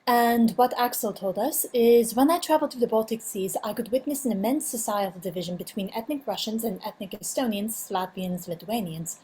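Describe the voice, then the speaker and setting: A woman's voice: fairly high pitch, a little fast, few pauses, dark tone, a little hiss or hum, rough recording. A woman moderating an online conference panel, politely thanking the speaker and reading out an audience question, heard over a video call.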